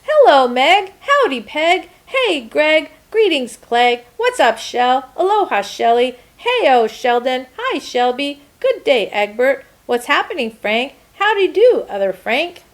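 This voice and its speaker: A woman voicing an earnest, eager-to-please good egg in an expressive, emphatic children's storybook telling. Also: high voice, slow pace, few pauses, voice pushed up, heavily acted. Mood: cheerful greeting. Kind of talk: first-person children's storybook narration.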